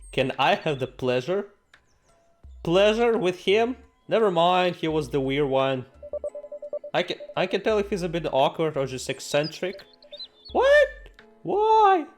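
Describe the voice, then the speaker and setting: High-pitched, theatrical male gamer. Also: sing-song, measured pace, long pauses. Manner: theatrical, exclamatory, jokey. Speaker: gaming video host. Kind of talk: playthrough reading with improvised reactions. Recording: music behind the voice. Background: game music.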